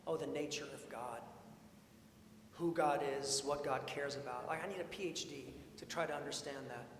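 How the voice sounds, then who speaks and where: A man preaching a sermon in an informal, expressive way, with storytelling and questions repeated for emphasis. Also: fairly high pitch, moderate pace. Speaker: preacher, priest. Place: large indoor church sanctuary. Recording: echoey church hall, long reverb, faint noise.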